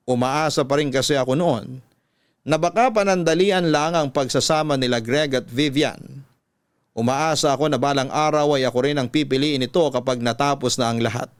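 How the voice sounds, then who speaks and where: A man's voice: medium pitch, clean tone, dry recording. A man with a Filipino accent reading a scripted dramatic first-person story in a radio storytelling style.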